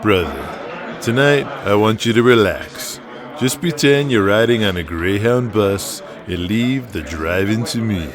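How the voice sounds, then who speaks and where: A man's voice: on the low side, measured pace, crowd noise. A man playing a confident Greek wrestling booker in an audio drama, speaking frankly in emphatic, clipped phrases.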